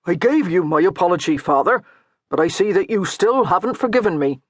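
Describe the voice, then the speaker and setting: A man's voice: high voice, lively intonation, a little fast, dry recording. A man voicing a patient, dutiful medieval steward who speaks earnestly. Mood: pleading.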